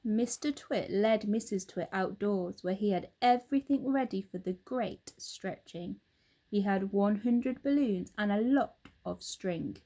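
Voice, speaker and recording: A woman narrating a children's story aloud in an expressive storytelling style. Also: medium pitch, unhurried. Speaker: storybook reader.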